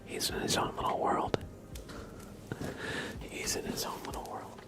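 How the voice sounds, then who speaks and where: A man doing casual ASMR chat in a hushed, mostly whispered voice, rambling gently. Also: a little slow, loud music behind the voice. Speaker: ASMR livestreamer.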